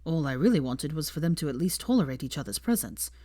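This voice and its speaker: A woman's voice: sits low, sing-song, fast talker, warm tone. Female narrator doing a young guy who's fed up and uneasy, dry grumbly storybook delivery. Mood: disturbed. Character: uneasy, disapproving young man, dryly exasperated.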